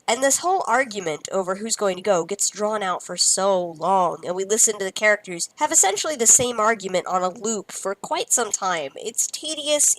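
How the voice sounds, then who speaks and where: A woman reviewer talks through a critique in a conversational way. Her voice is medium in pitch and recorded dry, with no room echo. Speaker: reviewer and host. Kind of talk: semi-scripted review commentary.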